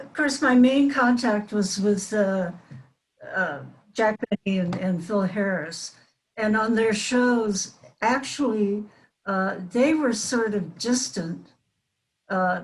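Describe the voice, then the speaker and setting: An older woman reminiscing as a panel guest on a video call, in a measured, conversational style. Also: medium pitch, poor audio. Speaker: panel guest, former child radio actress.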